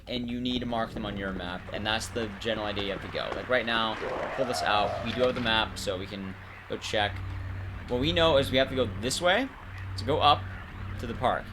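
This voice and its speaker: A man's voice: medium pitch, noisy background. A man giving casual playthrough commentary, explaining things informally and talking straight to viewers. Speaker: game commentator.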